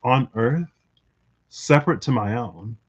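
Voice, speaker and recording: A man thinking out loud in a rambling, reflective monologue for his tarot channel, full of fillers and restarts. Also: mid-range voice, lively intonation, slow pace, breathy edge. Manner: musing, lots of fillers and restarts. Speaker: tarot reader vlogger.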